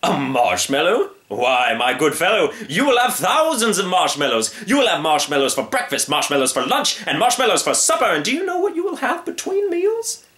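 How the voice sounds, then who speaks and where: A man voicing a pompous little fat male circus man who talks in high, excited sales patter. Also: normal speed, hardly any pauses, echoey room, heavily acted. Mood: grandly boasting.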